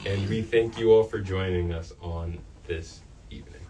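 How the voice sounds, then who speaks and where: A man's voice: low voice, steady tone, moderate pace, warm tone. A man hosting a live interview on student radio. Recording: distant mic with faint noise.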